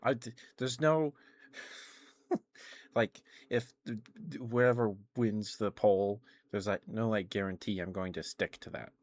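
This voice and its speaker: Low-pitched man rambling on an ASMR stream. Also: steady tone, slow. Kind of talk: casual rambling ASMR livestream chat.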